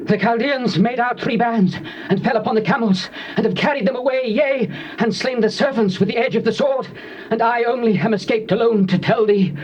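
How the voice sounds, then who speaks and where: A frantic surviving servant declaims urgently in a Bible drama. The voice is extremely high for a man, on muffled old tape. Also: a little fast, darkish tone. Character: frantic male servant, lone survivor of a raid. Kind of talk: dramatized scripture reading, theatrical. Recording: dull, band-limited tape.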